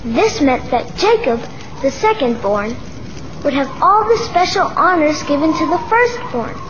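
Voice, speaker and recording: A woman's voice: high-pitched, very animated, measured pace, noisy background. A woman reading scripted narration for a children's Bible story. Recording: dull audio with music behind. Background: music.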